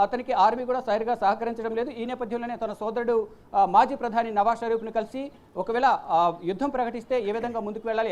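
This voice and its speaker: A woman presenting unscripted news commentary, repeating phrases and pausing on fillers as she goes. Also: a little high, a little mellow, lo-fi sound.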